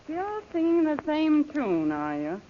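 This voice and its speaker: Imperious, high-voiced radio villainess. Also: female voice, big pitch swings, takes it slowly, heavily acted. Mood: cold, taunting. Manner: imperious, mocking. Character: cold, taunting villainess who commands henchmen. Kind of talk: 1930s radio serial acting. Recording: noisy, muffled old radio recording.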